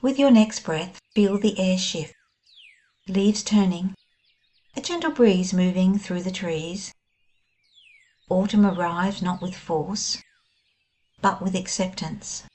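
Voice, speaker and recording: A woman guiding a scripted meditation in a gentle, soothing, unhurried manner. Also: lowish voice. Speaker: meditation guide. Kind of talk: scripted guided meditation narration.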